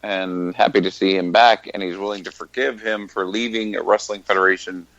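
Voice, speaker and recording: A man's voice: sits low, fairly even intonation, a little fast, fairly bright tone, no echo. A man co-hosting a wrestling podcast, teasing his co-host in a dry, casual way. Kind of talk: casual chat. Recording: dull lo-fi podcast audio.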